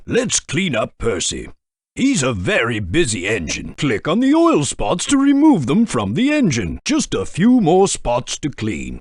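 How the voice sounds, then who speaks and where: A man voicing a portly, kindly older male railway boss who gives brisk, encouraging instructions in a game. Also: fairly high pitch, a bit bright, husky, no echo, clean studio sound, full character voice. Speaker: game guide giving instructions. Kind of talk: studio game voice-over. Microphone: studio microphone.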